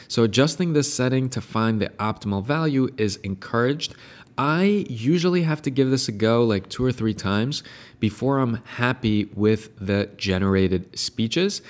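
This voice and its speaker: A man in his thirties hosting a software tutorial, upbeat and eager as he explains each step. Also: mid-range voice, moderate pace. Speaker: tutorial host and AI consultant. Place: indoor room in front of a painted brick wall.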